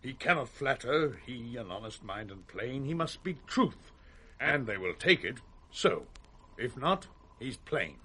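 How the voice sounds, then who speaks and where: An actor playing an imperious, short-tempered nobleman in 1960s British radio Shakespeare, commanding and contemptuous, with a rough edge. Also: medium pitch, big pitch swings, unhurried, sharp tone, heavily acted.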